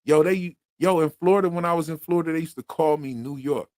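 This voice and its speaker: A man's voice: on the high side, fast, darkish tone, no echo, clean studio sound. A man from Pennsylvania with a Philadelphia accent, hosting a casual livestream chat in a slangy, laid-back way full of fillers. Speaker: podcast host.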